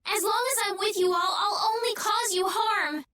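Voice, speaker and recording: An actress playing a gentle young princess who pleads in distress in a game cutscene. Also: female voice, extremely high pitch, normal speed. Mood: anguished. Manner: pleading, anguished.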